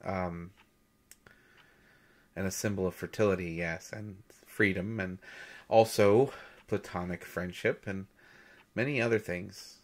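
Audio drama role, male actor: shy male god posing as substitute teacher, lowish voice, very slow halting delivery, gentle storyteller. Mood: thoughtful, playful. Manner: hesitant, nervous, halting with soft chuckles. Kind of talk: intimate audio roleplay, storytelling lecture.

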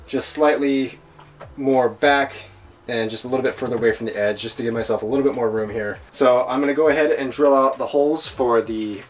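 A chatty man in his thirties talks a van build through step by step, with an easy, self-deprecating tone. His voice sits at an ordinary middle pitch for a man. He is close to a handheld camera in a garage workshop. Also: fairly even intonation, a little fast. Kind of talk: casual DIY explainer vlog. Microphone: handheld camera close to face.

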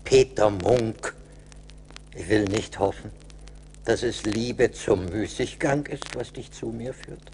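An actor playing a kindly but stern centuries-old little forest spirit in a radio play, measured and paternal. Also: male voice, on the low side, darkish tone, slight background noise. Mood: gently admonishing. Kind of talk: 1980s German radio play acting.

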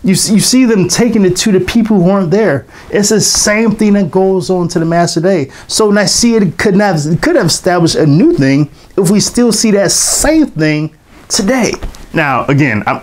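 A man in his forties giving an emphatic, animated lecture to camera in a studio. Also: fairly high pitch. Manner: animated, emphatic, expressive teaching delivery. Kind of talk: lecture to camera, reading quotations aloud.

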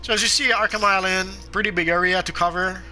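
Man, slightly high voice, sore throat, sniffles, halting, casual game commentary. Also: steady tone, pushed and bright, faint music behind, rough recording. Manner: halting, frequent "uh" fillers and restarts. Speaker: gameplay guide narrator.

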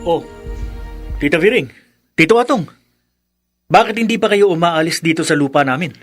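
A man's voice: fairly high pitch, moderate pace, faint music behind, lightly acted. A man playing a rude, dismissive young relative in a Filipino radio drama, brusque and insolent, with a Filipino accent. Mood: scornful.

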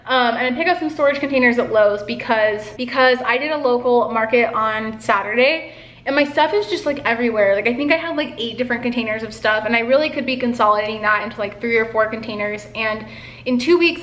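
Young female artist vlogger, casual and rambling, full of "like"s, camera on a stand in a roomy kitchen. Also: fairly high pitch. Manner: chatty, many "like" fillers, animated hand gestures. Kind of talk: casual vlog chat. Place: home living room and kitchen, indoors. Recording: echoey room.

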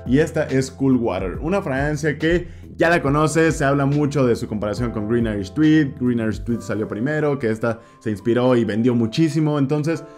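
A man's voice: mid-range voice, normal speed, a little mellow, soft background music. A young man in his twenties with a Mexican Spanish accent, casually talking through perfume picks in a vlog, repeating words for emphasis. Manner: chatty, gestures a lot, repeats words for emphasis. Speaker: fragrance reviewer. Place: indoor room with shelves of perfume bottles. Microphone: desk mic in front, camera at mid distance.

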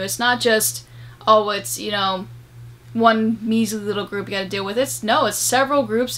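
A woman's voice: on the high side, fairly bright tone. A young adult woman giving a casual, emphatic movie review to camera in a room at home. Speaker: video host and game reviewer. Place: small room at home, indoors.

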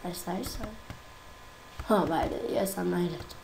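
A young woman with a croaky voice from a cold, chatting casually and giggling while she plays a game. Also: lowish voice, normal speed, reverberant, noisy background. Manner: chatty, giggly, easily exasperated. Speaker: gaming YouTuber. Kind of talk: casual gameplay commentary.

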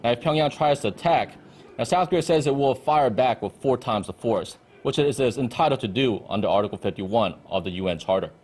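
Field correspondent, male, thirties, medium pitch, live report from a briefing room. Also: animated, a little fast, darkish tone. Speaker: news correspondent. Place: indoor ministry briefing room. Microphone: handheld-style reporter mic on desk.

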